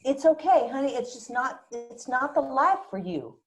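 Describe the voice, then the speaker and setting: Protective small-town mom played by a woman, slightly high voice, discouraging, screenplay read. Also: lively intonation, normal speed, faint music behind. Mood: soothing, firm. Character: protective small-town mother, discouraging her grown daughter.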